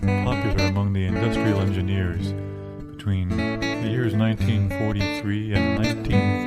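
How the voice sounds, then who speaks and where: A man improvising comedy dialogue in a deadpan manner. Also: lowish voice. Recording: loud plucked guitar music alongside the voice.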